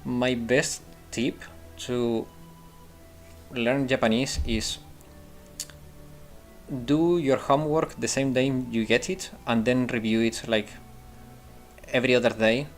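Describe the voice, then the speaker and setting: A man's voice: medium pitch, steady tone, takes it slowly, pauses often, soft background music. Man chatting while gaming. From Italy. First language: Italian. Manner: rambling, thinks aloud with restarts and fillers. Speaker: streamer. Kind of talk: casual gameplay commentary and chat replies.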